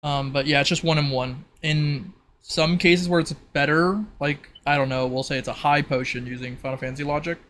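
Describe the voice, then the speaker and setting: A man's voice: on the high side, steady tone, fairly quick, hardly any pauses, fairly bright tone, a little hiss or hum. A man casually explaining game rules in an informal, rambling way, as if thinking aloud. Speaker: tabletop roleplay participant explaining game rules.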